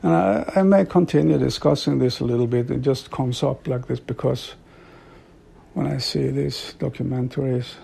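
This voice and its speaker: A middle-aged man from Sweden, an author and devotee, talking reflectively in a slow, halting way with frequent soft laughs. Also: mid-range voice, vocal fry, breathy edge. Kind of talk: reflective monologue.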